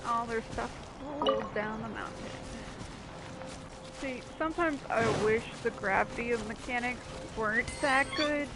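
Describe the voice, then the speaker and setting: A woman with a fairly high voice hosts a gaming video. She talks slowly in casual, offhand fragments, with very loud game music behind her. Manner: offhand, short fragmented remarks. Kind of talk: casual gameplay commentary. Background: video game music and effects.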